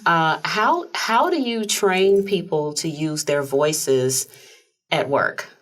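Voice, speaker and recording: A woman hosting a talk show in a studio, soft-spoken and conversational. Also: deep voice, expressive, slow pace. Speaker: host. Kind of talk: casual interview chat.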